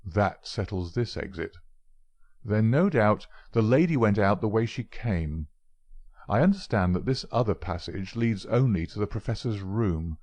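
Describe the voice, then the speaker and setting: A cool, confident detective who questions in a measured, probing way, read by a man. His voice is low-pitched and steady, close to the reader's own. It has a dry, close sound. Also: dry recording. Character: sharp, confident male detective, middle-aged.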